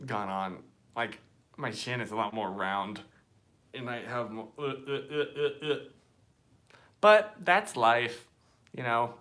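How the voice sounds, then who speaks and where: A man's voice: mid-range voice, bright, forceful tone, slight background noise. A young American man chatting casually and rambling on a live stream from his phone, sitting outdoors at night. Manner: rambling, chatty, reads out viewer comments.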